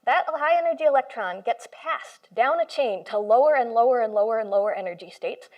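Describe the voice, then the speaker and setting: A woman's voice: fairly high pitch, expressive, fairly quick, muffled recording. A woman computational biologist giving an informal lecture to a live audience in a room, speaking with enthusiasm and explaining with everyday comparisons. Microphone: handheld or stand mic, moved closer at one point.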